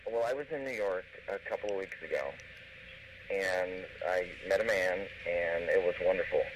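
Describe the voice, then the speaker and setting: A man's voice: deep voice, normal speed. A man calling in to a radio show, speaking hesitantly and stumbling over his words. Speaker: caller. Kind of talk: phone-in confession. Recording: noisy phone line.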